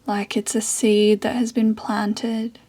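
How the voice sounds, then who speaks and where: Meditation guide, female, soft and breathy, very even pitch, unhurried with long pauses. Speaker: yoga and meditation teacher.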